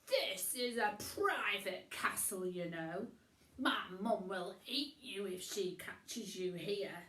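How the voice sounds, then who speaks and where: A young beast boy, brash and bossy as a woman storyteller puts him on. He snorts indignantly in a lively storybook voice that leaps about in a roomy space. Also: mid-range voice, slow, a little hiss or hum.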